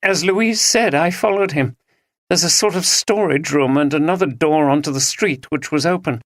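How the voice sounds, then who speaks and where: A woman's voice: low voice, normal speed, no echo, pitched above the performer's own voice, close to an everyday voice. A man voicing a distressed young woman witness who speaks earnestly. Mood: upset.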